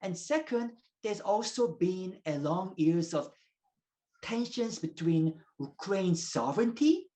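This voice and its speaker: A man in his thirties, a native Korean speaker raised in Russia, explaining as a guest professor in measured, lecture-like answers. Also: a little high, a little mellow. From South Korea, raised in Russia. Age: 30s.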